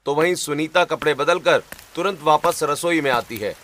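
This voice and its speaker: A man narrating a cartoon story in a steady, descriptive manner. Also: fairly high pitch, normal speed.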